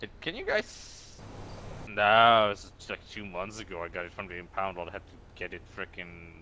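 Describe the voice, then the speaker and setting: A man's voice: low voice, expressive, moderate pace, sharp tone, dull audio, slight background noise. A man playing a drunk, evasive motorist in a game roleplay, mumbling in slangy, noncommittal phrases. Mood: evasive, muddled. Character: drunk, evasive, slow-witted male motorist. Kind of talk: improvised online game roleplay.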